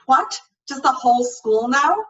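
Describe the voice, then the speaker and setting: A woman's voice: sits high, expressive, a little slow, recorded from a distance, lo-fi sound, pitched above the performer's own voice. A woman voicing a studious, stressed teenage girl in a storybook reading, with a curt, guarded manner. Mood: defensive. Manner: clipped, guarded, defensive.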